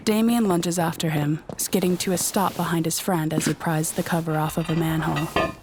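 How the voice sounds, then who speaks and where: A woman's voice: low-pitched, fairly even intonation, moderate pace, noisy background. A woman narrating a scripted audio drama in a descriptive storytelling manner.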